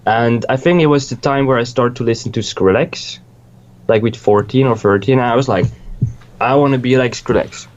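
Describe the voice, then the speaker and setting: A man's voice: medium pitch, moderate pace, lo-fi sound. A young man from Switzerland, a music producer, chatting casually in an interview, rambling and swearing freely with lots of restarts.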